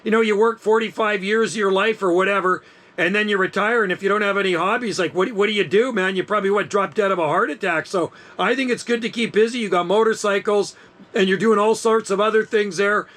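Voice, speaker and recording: A man's voice: sits high, fast talker, fairly bright tone. A man in his sixties chatting in an upbeat way on an amateur radio link, sharing stories about retirement life. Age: 60s. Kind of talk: casual radio chat.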